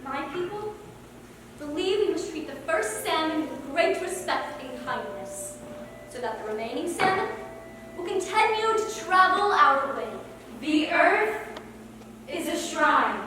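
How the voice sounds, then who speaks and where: A woman acting a gentle, earnest character in a stage play, with measured, declamatory delivery. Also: high voice, big pitch swings. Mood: reverent. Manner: slow, measured, declamatory narration. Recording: echoey hall, distant mic, background noise.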